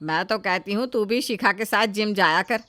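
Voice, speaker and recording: Blunt, bright-voiced older mother-in-law played by a woman. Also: mid-range voice, moderate pace, no room sound, lightly acted. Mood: chiding. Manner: taunting, gossipy.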